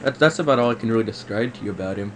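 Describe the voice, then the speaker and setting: A man's voice: fairly low pitch, rapid-fire. A man making a polite phone complaint, hesitant and repetitive, circling back over his words. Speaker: prank caller posing as a customer. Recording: faint background noise on recorded call.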